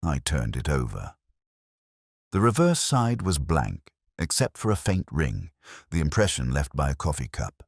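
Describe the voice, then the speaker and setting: A man playing a brooding, erudite police detective, narrating his case as a measured, melancholy, literary first-person mystery story. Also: rumbling low, big pitch swings, gravelly, breathy edge, no echo, studio quality, heavily acted. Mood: brooding, reflective.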